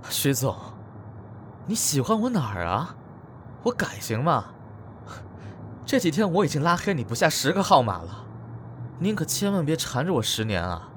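A man's voice: fairly high pitch, sing-song, moderate pace, long pauses, a bit bright, slight background noise. A man playing a proud, sarcastic, unyielding young celebrity in a Chinese audio drama. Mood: mocking. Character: sharp-tongued, proud young male entertainment star, sarcastic and unyielding.

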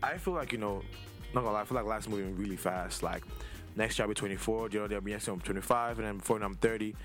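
A man's voice: on the low side, very fast, fairly bright tone, soft background music. A man in his twenties from Nigeria, hosting a casual interview in an informal, rambling way that circles around his questions. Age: 20s. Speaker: host interviewing a younger relative.

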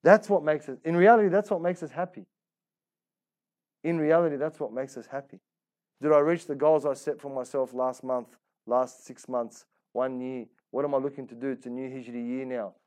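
A man's voice: mid-range voice, fairly even intonation, normal speed, a little mellow. A man giving a religious class as an Islamic teacher, talking colloquially with rhetorical questions, repetition and Arabic religious phrases. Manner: colloquial, rhetorical questions and repetition, role-plays inner voices. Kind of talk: religious class, conversational sermon.

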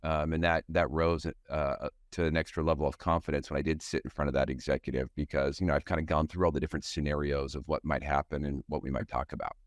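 A man's voice: extremely low pitch, fairly even intonation, fast, few pauses, no room sound, clean studio sound. A middle-aged man, a guest on an interview show, recalling his sales career in a thoughtful, storytelling way, often saying "you know" as he goes. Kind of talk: reflective interview answers.

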